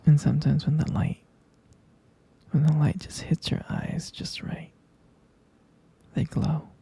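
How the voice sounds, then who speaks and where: Guy acting as soft doting boyfriend for asmr, really husky and whispery, dark mellow tone. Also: a little high, flat delivery, full character voice. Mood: adoring. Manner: slow, doting, lingering over words. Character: tender, devoted boyfriend comforting his pregnant partner. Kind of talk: boyfriend ASMR roleplay, intimate and soothing.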